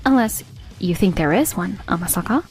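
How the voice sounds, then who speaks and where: A woman's voice: medium pitch, animated, moderate pace, a little mellow, faint music behind, close to an everyday voice. A woman playing a composed, firm team leader with a pointed, coolly authoritative manner in a manga dub. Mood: firm, challenging. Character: composed, firm female team leader defending her husband. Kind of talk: scripted manga dub acting.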